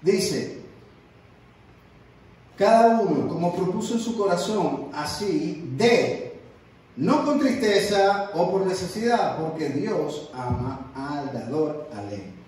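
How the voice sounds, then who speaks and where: A man's voice: high-pitched, animated, takes it slowly, a little mellow. A man preaching a sermon as an evangelist, emphatic and repeating his words for stress. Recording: echoey room, distant mic.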